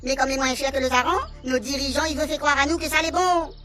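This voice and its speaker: A woman's voice: high-pitched, flat delivery, fast, over music, lightly acted. A woman playing an earnest, pleading little alien with childlike broken grammar in a comic radio serial.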